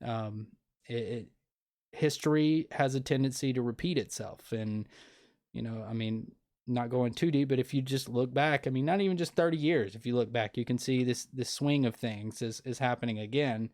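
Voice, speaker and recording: A man's voice: mid-range voice, moderate pace, a little mellow. Male show host, casual and earnest, rambles through restarts and fillers. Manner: earnest, opinionated. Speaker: podcast host. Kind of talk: casual interview.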